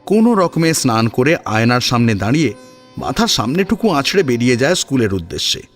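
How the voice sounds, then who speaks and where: A man's voice: mid-range voice, sing-song, fast talker. A man narrating a scripted story expressively, as the narrator of an audio drama. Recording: music under the voice.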